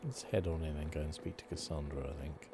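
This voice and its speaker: A soft-spoken man giving relaxed ASMR commentary on a game, with dry humour. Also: extremely low pitch, fast, noisy background. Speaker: ASMR gaming channel host.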